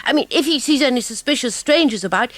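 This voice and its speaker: High-voiced, confident schoolboy. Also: female voice, lively intonation, fast, a bit bright, no echo. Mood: planning. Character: clever schoolboy, confident, keen young sleuth. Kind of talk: dramatised children's audiobook acting.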